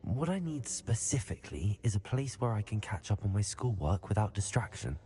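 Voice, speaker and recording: A man's voice: on the low side, sing-song, normal speed, warm tone, husky. A young man playing a curious, polite wizard student in a video game. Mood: polite, earnest. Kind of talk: video game character acting. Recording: long hall reverb, faint background noise.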